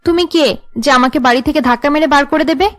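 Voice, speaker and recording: A woman's voice: high voice, quick pace, faint music behind, natural voice. A woman voicing a put-upon young wife and mother in a cartoon drama, pleading and aggrieved. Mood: hurt, protesting.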